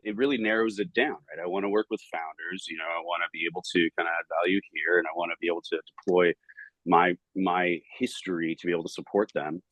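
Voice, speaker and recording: A man in his forties with a Southern Californian accent, answering interview questions in an energetic, rambling way full of fillers. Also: deep voice, no room sound, low-quality recording. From United States. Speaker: podcast guest, startup banking director.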